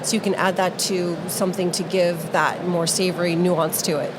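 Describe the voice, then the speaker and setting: A woman's voice: on the low side, fairly even intonation, moderate pace. A woman guest on a podcast talking with upbeat enthusiasm. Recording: noisy trade show floor.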